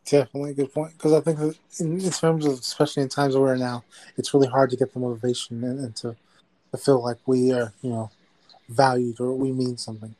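A man's voice: medium pitch, little pitch movement, fast, warm tone, rough recording. A man hosting a casual chat, encouraging and affirming toward his guests. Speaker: podcast host.

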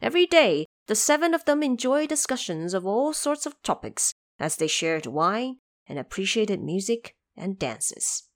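A woman narrating a scripted audio drama tale, pausing for explanatory asides. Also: medium pitch, sing-song, no room sound, clean studio sound.

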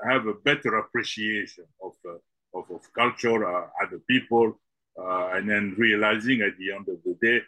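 A middle-aged man from the Congo, a podcast guest answering with many halting fillers and repeated words. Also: lowish voice, bright, forceful tone, no echo, poor audio.

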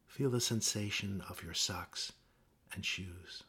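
A man gently leading a guided meditation with measured instructions and pauses. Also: deep voice, husky.

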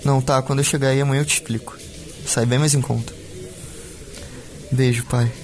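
A man's voice: medium pitch, flat delivery, fairly quick, over music, close to an everyday voice. A man playing a worried young Brazilian film student, a devoted son, talking casually in a naturalistic audio drama. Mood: casual, concerned. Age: young adult. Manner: casual, colloquial, short phrases.

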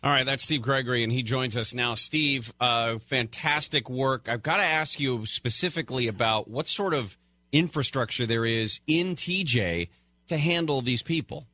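A male radio host speaks in a bright, forceful voice of middling pitch. He is interviewing live, and the sound is close and dry like a studio. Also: normal speed, no room sound.

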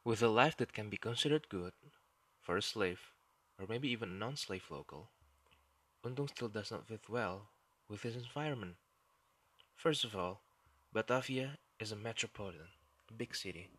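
A man's voice: fairly low pitch, moderate pace, pauses often, fairly bright tone. A man with an Indonesian accent, whose first language is Indonesian, hosting a solo history podcast in an earnest, explanatory way. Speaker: podcast host.

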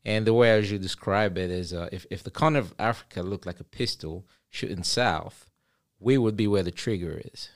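A man's voice: sits low, brisk. A man in his thirties from Cameroon, a podcast guest telling his story in an easygoing way, with many fillers. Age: 30s. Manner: relaxed, conversational, with many fillers. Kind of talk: casual interview storytelling. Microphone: studio podcast mic.

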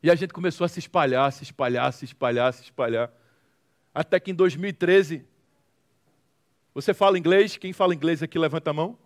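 A man's voice: fairly high pitch, fairly quick. A man with a Brazilian accent giving a motivational testimony to an audience, telling stories dramatically with emphatic repetition and humor. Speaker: guest speaker, social movement founder.

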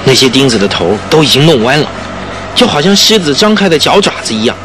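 Man acting a cunning, sharp-voiced smug villain. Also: mid-range voice, lively intonation, quick pace, a little hiss or hum, close to an everyday voice. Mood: smug, scheming. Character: cunning, smug male murderer boasting of his scheme. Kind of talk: Mandarin radio drama acting.

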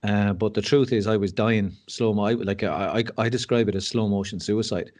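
Frank Irish man, low voice. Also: steady tone, normal speed, darkish tone. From Ireland. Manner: frank, self-deprecating, rambling with many fillers and restarts. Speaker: podcast guest. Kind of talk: candid conversational storytelling.

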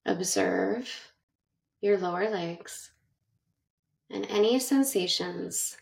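Slow-paced woman guiding meditation. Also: medium pitch, gravelly. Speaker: meditation guide. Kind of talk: guided meditation narration. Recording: roomy echo, distant from mic.

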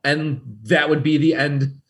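A man's voice: fairly high pitch, level tone, measured pace, a bit bright. A man co-hosting a podcast, bantering casually about television in a blunt, sweary, sarcastic way. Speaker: podcast co-host.

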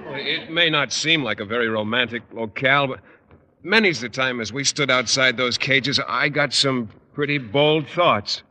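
An actor playing a bashful, earnest American biology teacher who talks hesitantly and stammers, in 1950s radio sitcom style. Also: male voice, medium pitch, moderate pace, sharp tone, big-room sound.

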